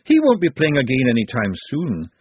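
A man voicing a breezy, matter-of-fact male doctor with a husky voice. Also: mid-range voice, wildly swooping pitch, a little fast, dry recording. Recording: tinny phone-like band.

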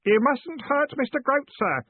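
A man's voice: on the high side, sing-song, normal speed, husky, no echo, phone-quality sound, pitched above the performer's own voice. A man voicing an earnest, pedantic boy with an obsessive, thoughtful way of talking, in an audiobook story. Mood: glazed, threatening. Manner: level, thoughtful.

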